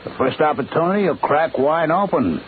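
A man acting a smooth-talking gangster in a 1940s-style radio mystery, falsely sweet and threatening. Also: fairly high pitch, expressive, brisk, close to an everyday voice. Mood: quietly menacing. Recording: tinny, noisy old-time radio recording.

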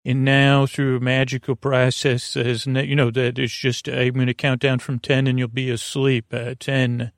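Creaky, meandering bedtime podcast man. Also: medium pitch, little pitch movement, no room sound, clean studio sound. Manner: meandering, halting, stammering, repeating words; soothing, lulling. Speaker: podcast host. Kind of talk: rambling bedtime monologue to lull listeners to sleep.

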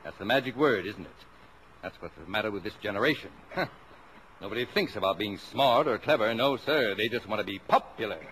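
A man's voice: fairly low pitch, lively intonation, brisk, fairly bright tone, husky. An actor playing a strict, old-fashioned, sarcastic father of a big family in a 1950s radio drama. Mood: indignant, sarcastic. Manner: sarcastic, indignant, emphatic. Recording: noisy old radio broadcast.

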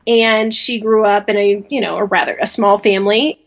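A woman's voice: medium pitch, steady tone, moderate pace, highs cut off. A woman from rural Louisiana, talking casually as a podcast guest, rambling and laughing often as she restarts her sentences. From rural Louisiana, USA. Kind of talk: casual interview talk.

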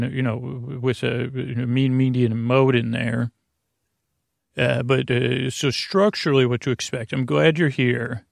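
A man hosting a bedtime podcast in a creaky, lulling voice, meandering through halting asides and false starts. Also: medium pitch, no echo, clean studio sound. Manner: soothing, lulling. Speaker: podcast host. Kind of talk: rambling bedtime podcast monologue to lull listeners to sleep.

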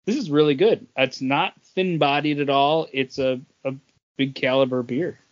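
A man's voice: medium pitch, moderate pace, highs cut off. A man co-hosting a podcast, chatting casually with the others. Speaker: podcast co-host. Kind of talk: casual chat.

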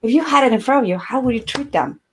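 A woman's voice: fairly high pitch, animated, fast, slight background noise. Woman; podcast host, rambling and filler-heavy. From Ecuador. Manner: searching, rambling, filler-heavy, often laughs. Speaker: host, somatic healer. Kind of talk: conversational podcast interview.

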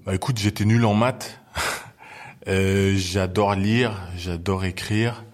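Deep-voiced man from Belgium, relaxed. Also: slow. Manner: relaxed, lots of hesitations. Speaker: guest, hip-hop journalist. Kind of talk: casual interview chat.

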